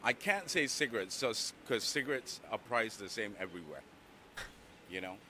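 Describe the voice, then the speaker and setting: A man's voice: lowish voice, expressive, moderate pace, sharp tone, slight background noise. A man rambling through street interview answers in a conversational way. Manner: rambling, conversational, many fillers.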